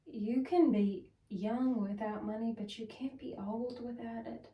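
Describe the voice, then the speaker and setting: A woman playing a wounded, bitter wife in a dramatic stage monologue, speaking in halting, broken phrases. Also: fairly high pitch, steady tone, highs cut off, natural voice. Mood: insistent. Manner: halting, broken phrases with heavy pauses, at times whispered. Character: wounded, bitter Southern wife confessing to her husband. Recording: roomy echo, distant microphone.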